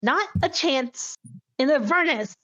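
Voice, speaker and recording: A woman's voice: high voice, animated, slow pace, sharp tone, dull audio. A woman talking casually as a player in a tabletop role-playing game.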